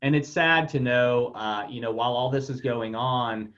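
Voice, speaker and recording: A man's voice: medium pitch, fairly even intonation, normal speed, a bit bright. A man hosting a casual podcast interview, rambling with many fillers and repeated words. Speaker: podcast host. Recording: dull lo-fi audio.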